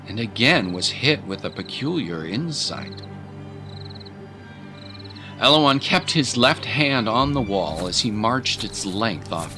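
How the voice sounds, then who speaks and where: Low-voiced male story narrator over music. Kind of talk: scripted dramatic story narration.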